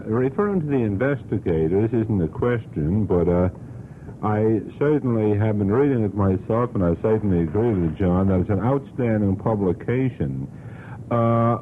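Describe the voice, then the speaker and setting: Male radio panelist, lowish voice, conversational questioning, narrow tape with faint noise. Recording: muffled, noisy old radio broadcast tape.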